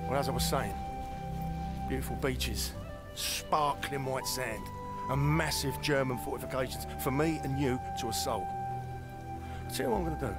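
Man playing a gruff London army veteran, music behind. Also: mid-range voice, moderate pace, long pauses, lightly acted. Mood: wry, friendly. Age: middle-aged. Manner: gruff, commanding, blunt. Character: tough, foul-mouthed older British soldier mentoring a young recruit. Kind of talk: cinematic video game acting. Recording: loud music under the dialogue.

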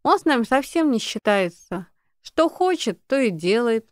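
A man's voice: very high-pitched, sing-song, normal speed, dry recording, clean studio sound, voice pushed up. A man voicing a stern, worried mother, reproachful and earnest, in an audiobook. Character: stern, worried mother, a law-minded wife.

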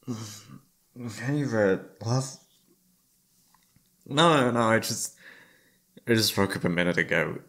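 A man's voice: lowish voice, vocal fry, full character voice. A man playing an exhausted, affectionate young boyfriend in an intimate ASMR roleplay, speaking hesitantly with sighs. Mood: groggy, gentle. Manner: tired, sighing, hesitant, soft-hearted.

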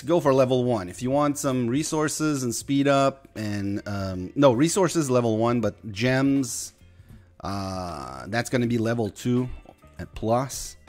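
A young man from Quebec with a slight Quebec French accent, casually chatting through a mobile game stream. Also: medium pitch. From Quebec, Canada. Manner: rambling, chatty, talks to chat. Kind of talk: casual gameplay commentary.

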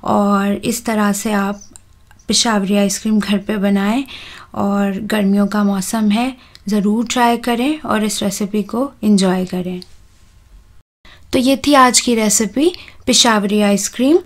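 A woman, hosting a home cooking channel and talking calmly through a recipe step by step, with soft "uh" fillers. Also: mid-range voice, takes it slowly. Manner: calm, instructive, with soft "uh" fillers.

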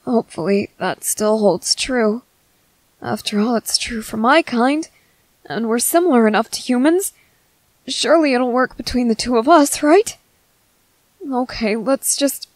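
A woman voicing a gentle young merman in an ASMR roleplay, hesitant, soft-spoken, earnest and apologetic. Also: fairly high pitch, dry recording. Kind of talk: ASMR roleplay, scripted character acting.

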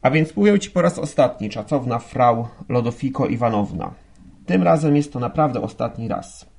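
A man's voice: mid-range voice, lively intonation, moderate pace, vocal fry, a little mellow. A man playing a blustering, contemptuous, hot-tempered police lieutenant, scolding with sarcasm. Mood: stern, sarcastic.

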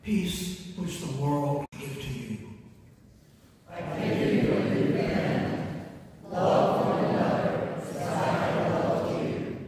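An older man, a priest, slowly intoning a liturgical anthem in a small chapel. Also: fairly high pitch, lively intonation, noisy background. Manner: slow, intoned anthem singing. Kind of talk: liturgical chant. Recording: distant mic, echoey hall.

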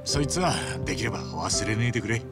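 An actor playing a rough, big-hearted, earnest former gangster in a video game drama, with blunt, casual street speech. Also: male voice, medium pitch, expressive, fast, over music, lightly acted. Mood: apologetic, sincere.

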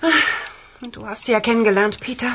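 An actress playing a bereaved middle-aged client and friendly hostess in a German audio drama. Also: female voice, a little high, moderate pace, bright, forceful tone, breathy edge, heavily acted. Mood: matter-of-fact.

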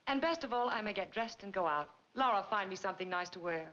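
An actress playing a gracious, wealthy middle-aged wife in a 1940s film, polite, eager and genteel. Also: female voice, mid-range voice, lively intonation, fast, a bit bright, natural voice. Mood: happy, gracious. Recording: dull, noisy 1940s film soundtrack.

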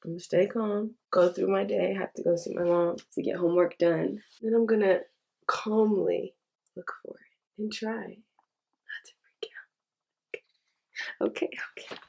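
A woman's voice: medium pitch, steady tone, measured pace, vocal fry, long pauses, mellow, breathy edge. Chatty congested young woman vlogging. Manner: chatty, rambling, addresses viewers as y'all. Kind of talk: casual vlog talk to camera. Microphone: handheld camera at arm's length.

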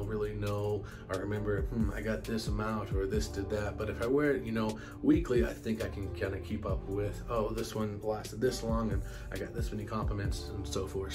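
Congested low-voiced guy, nasal from a cold, rambling chill vlog talk, music going behind him. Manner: casual and chatty, many fillers, apologetic. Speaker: fragrance channel host. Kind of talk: casual fragrance vlog. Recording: music playing clearly behind.

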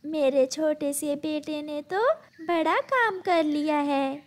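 Caring cartoon mother bird, done by a woman narrator, soft-hearted, very high pitch, unhurried lines. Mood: amazed, proud. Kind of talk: children's cartoon story dialogue.

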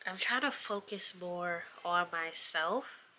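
Stuffy-nosed woman vlogger, bright tone. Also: lowish voice, takes it slowly, slight background noise, low-quality recording. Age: 20s. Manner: relaxed, chatty, many fillers. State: stuffy nose from allergies. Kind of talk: casual chat to camera. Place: small bedroom, indoors. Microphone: camera close up on a desk.

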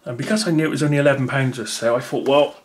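A man's voice: medium pitch, steady tone, rapid-fire, slight background noise. A man, thinking aloud with many fillers as he unboxes a gadget at a table indoors. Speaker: unboxing video host. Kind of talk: casual unboxing commentary.

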